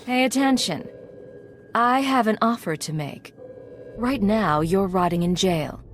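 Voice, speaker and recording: A woman plays a commanding secret agent in a video game. Her mid-pitched voice is curt, controlled and authoritative, with faint noise behind it. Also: lively intonation, measured pace, lightly acted. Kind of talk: video game character acting.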